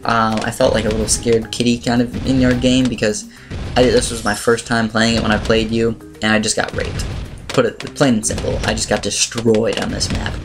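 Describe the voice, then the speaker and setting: A man with a slightly raspy voice giving casual, rambling game commentary as he explains strategy. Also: fairly low pitch, little pitch movement, moderate pace. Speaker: gaming video host. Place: voice-over on screen-recorded gameplay. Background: music.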